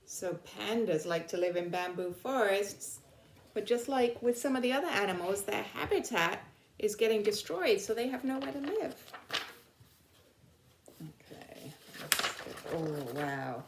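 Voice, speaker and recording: Female teacher, explanatory read-aloud for children with questioning asides, medium pitch, roomy echoing recording. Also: expressive, a little slow, noisy background. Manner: explanatory, checks in with rhetorical questions. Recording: roomy, echoing indoor sound.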